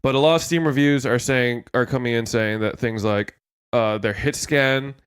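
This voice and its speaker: A man's voice: mid-range voice, fairly even intonation, moderate pace, fairly bright tone, clean tone, dry recording, clean studio sound. A young man co-hosting a casual gaming podcast, sounding congested and rambling with fillers and swearing. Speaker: podcast co-host.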